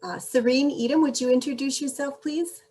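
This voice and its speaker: A woman hosting an online meeting over a video call, introducing each guest warmly and graciously. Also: sits high, fairly even intonation, normal speed. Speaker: meeting host.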